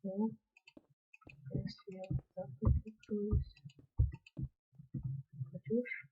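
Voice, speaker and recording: A young man casually commenting on a game he plays, slightly ill and clearing his throat, mostly muttering to himself. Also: high voice, extremely slow, a little hiss or hum. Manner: mutters to himself while playing, then talks excitedly to viewers. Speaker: gaming channel host. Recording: dull lo-fi mic.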